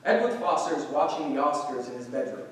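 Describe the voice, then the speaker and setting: A man's voice: a little high, very animated, fast talker. A man reading stage directions as scripted narration for a staged play reading. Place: echoey room at a staged reading. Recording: very echoey, distant, faint noise.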